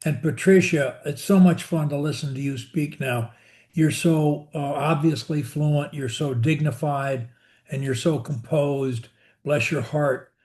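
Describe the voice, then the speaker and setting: A man's voice: on the high side, measured pace, darkish tone. A man who coaches the group, speaking casually on a video call and heaping praise on the members. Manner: effusive and full of praise. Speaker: speech coach.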